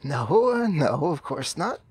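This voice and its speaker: A man's voice: a little high, sing-song, slow pace, darkish tone. A man voicing a timid, polite, falsely cheery character, stammering with fussy, prim nervousness in an improvised game roleplay. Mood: nervous.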